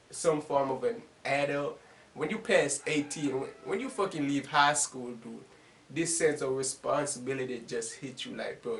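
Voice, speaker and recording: A man's voice: medium pitch, slight background noise. A man of twenty-one chatting casually in a rambling, slangy, profane and self-deprecating monologue, laughing often. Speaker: YouTuber making reaction videos.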